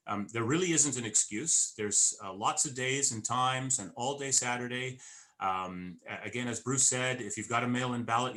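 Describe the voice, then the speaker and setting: A man hosting an interview, talking in a halting, friendly way with many fillers and restarts. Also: mid-range voice, a bit bright, low-quality recording. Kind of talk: interview wrap-up.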